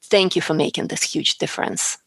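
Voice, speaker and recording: A woman with a low, gravelly voice speaks as a public speaking coach in an interview. She talks earnestly, leaning on key words and repeating them for emphasis. The sound is dry and close, with no room around it. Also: steady tone, fairly quick, fairly bright tone, no room sound. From outside the US. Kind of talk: conversational interview.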